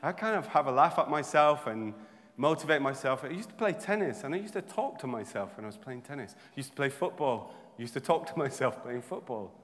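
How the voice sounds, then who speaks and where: A man preaching a sermon, turning from quiet reflection to loud, humorous exhortation. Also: medium pitch, expressive, fairly quick. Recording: long hall reverb.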